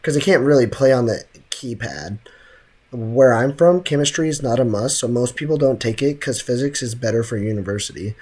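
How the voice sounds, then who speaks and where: Casting: young male game streamer, medium pitch, casual chat, joking and full of slang. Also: fairly quick, a little mellow, slightly rough. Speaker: livestreamer.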